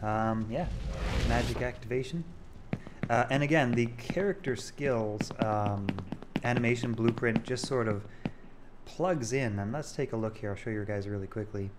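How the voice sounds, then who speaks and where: A man giving a casual, halting screen-recorded demo as a game developer, thinking aloud as he goes. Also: mid-range voice, heavy background noise. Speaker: game developer showing a project.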